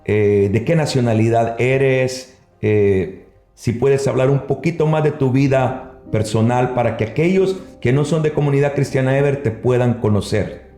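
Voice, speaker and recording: Mellow Salvadoran man interviewing, roomy sound. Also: medium pitch, moderate pace. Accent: Salvadoran Spanish. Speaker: interviewer, pastor. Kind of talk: conversational church interview. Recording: roomy echo, music underneath.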